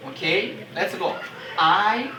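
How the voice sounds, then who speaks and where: A man's voice: high voice, takes it slowly. A man whose first language is Portuguese teaches a beginner lesson in English with a Portuguese accent. He drills simple phrases patiently and repeats them often. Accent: Portuguese-speaking. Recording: distant roomy amateur recording with background noise.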